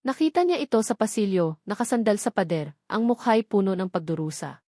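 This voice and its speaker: Woman, story narrator, dramatic scripted delivery, medium pitch, dry close sound. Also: expressive, fairly quick, mellow, no room sound.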